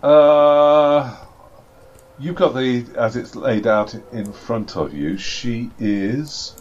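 A man's voice: mid-range voice, drawn-out words, darkish tone, slight background noise. A man running a tabletop game who talks casually, with many restarts and fillers and dry, self-deprecating humour. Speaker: game master and podcast co-host. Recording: slightly dull lo-fi home recording.

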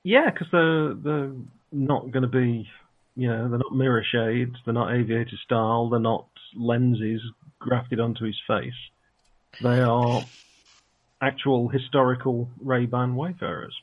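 A man's voice: mid-range voice, steady tone, measured pace, phone-quality sound. A man playing a tabletop role-playing game, chatting with a wry, dry humour. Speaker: player. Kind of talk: casual chat at a game table.

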